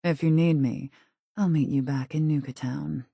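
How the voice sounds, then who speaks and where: An actress voicing a cold, calculating raider gang leader in a video game, composed and formal, with measured, quietly menacing delivery. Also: female voice, low voice, fairly even intonation, creaky, breathy edge, no room sound, clean studio sound, exaggerated voice. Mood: cool, measured. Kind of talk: video game character voice acting.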